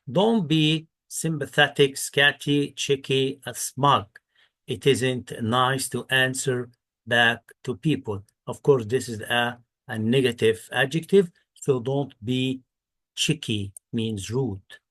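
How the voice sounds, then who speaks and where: Slow-paced male English teacher. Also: medium pitch. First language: Arabic. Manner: deliberate, repeats words and options. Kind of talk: exam walkthrough lesson, reading questions and explaining answers.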